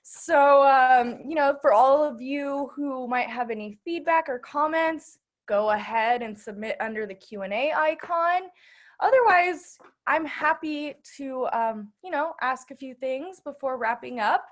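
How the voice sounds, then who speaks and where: A woman casually hosting an online event, speaking informally and haltingly, with appreciative remarks and questions. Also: sits high. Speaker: host of an online bookstore event.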